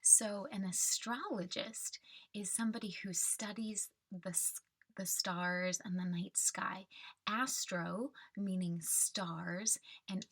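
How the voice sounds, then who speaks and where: A woman reading a children's picture book aloud and explaining it simply, in a deliberate teaching manner. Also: medium pitch, fairly even intonation, fairly bright tone.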